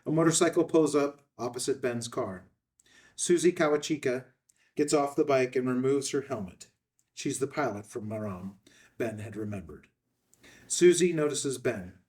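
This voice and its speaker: A man's voice: mid-range voice, normal speed, darkish tone, distant mic. A man reading screenplay stage directions as scripted narration. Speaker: stage directions reader at a table read.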